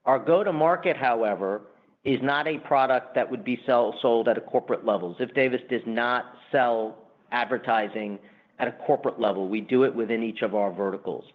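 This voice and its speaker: A man's voice: medium pitch, fairly quick. A man speaking as a company executive, explaining the business emphatically and semi-formally in answers on an earnings call. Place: conference call. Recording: muffled, band-limited conference call line.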